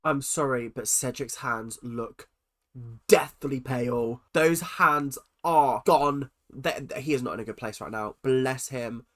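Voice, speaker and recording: Bright, nasal man with a cold. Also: medium pitch, measured pace. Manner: excitable, chatty, swings between loud exclamations and muttered asides. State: stuffy-nosed from a cold. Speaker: reaction video host. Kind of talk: casual reaction commentary.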